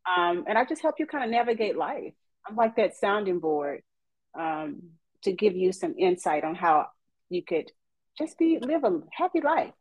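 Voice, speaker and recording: A woman from East Texas, chatting on a podcast as a guest counselor and telling her story with faith-filled warmth. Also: medium pitch, very animated, moderate pace, breathy edge, dry recording, rough recording. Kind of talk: casual podcast chat.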